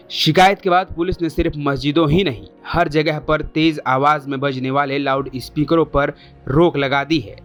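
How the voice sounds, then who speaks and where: A man presenting a scripted news explainer, formal in delivery and putting rhetorical questions to the viewers. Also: on the high side.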